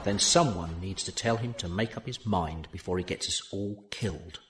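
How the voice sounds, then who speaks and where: A man's voice: sits low, normal speed, natural voice. A man playing a stern, contemptuous ex-fleet veteran in a science fiction audio drama, speaking in a clipped, scornful manner. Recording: roomy echo with clear ambient noise.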